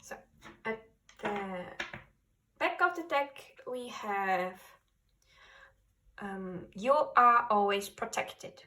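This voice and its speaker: Female tarot reader, non-native English, slow halting spiritual delivery, recorded off-mic at a distance. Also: mid-range voice, animated, bright, forceful tone, faint music behind. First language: not English. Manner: halting, searching for words. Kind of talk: spiritual card reading to camera.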